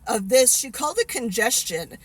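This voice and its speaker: A woman in her fifties talks to her camera in a loose, chatty way, often stopping to restart a thought. Her voice sits a little high for a woman. She is frank and laughs at herself now and then. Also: sing-song, moderate pace, slightly rough. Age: 50s. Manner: rambling, chatty, many fillers and restarts. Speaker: vlogger. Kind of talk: casual chat to camera.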